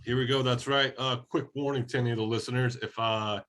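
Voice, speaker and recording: A man from Oregon co-hosting a soccer podcast, talking in a relaxed, casual way while slightly hoarse from a head cold. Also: medium pitch, fast. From Oregon, USA. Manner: laid-back, conversational, many fillers. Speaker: podcast co-host.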